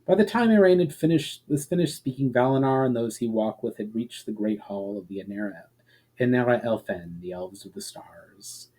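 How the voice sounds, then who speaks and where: A man narrates a fantasy tale as a dramatic reading. His voice is mellow and medium in pitch, and his storytelling is measured and expressive. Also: rough recording. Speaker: author reading own story.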